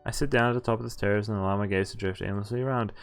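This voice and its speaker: A man's voice: lowish voice, fairly even intonation, rapid-fire, smooth. A man streaming a visual novel, reading its text aloud and sometimes stumbling over words and rereading them. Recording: music behind the voice. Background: game music.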